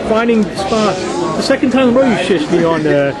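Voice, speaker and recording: A man's voice: high-pitched, expressive, fairly quick. A man working as a reporter, asking pressing interview questions and stumbling over his words as he works them out. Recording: loud crowd chatter behind.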